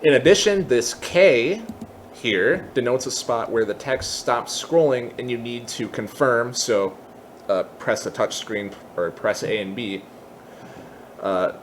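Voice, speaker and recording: A man giving an unscripted software tutorial, explaining casually step by step and thinking aloud as he goes. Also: medium pitch, measured pace, a little hiss or hum. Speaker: tutorial presenter.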